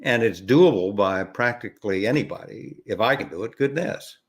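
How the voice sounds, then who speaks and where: Older man, low voice, easygoing guest with many ums and restarts. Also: expressive. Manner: rambling, halting with many fillers and restarts. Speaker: podcast guest, ukulele educator. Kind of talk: relaxed conversational interview.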